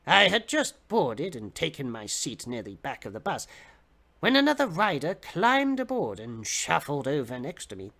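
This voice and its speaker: Bright-voiced man giving a dramatic reading. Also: on the high side, wildly swooping pitch, moderate pace, gravelly. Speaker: reader of comic fiction on a livestream. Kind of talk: dramatic audiobook-style reading.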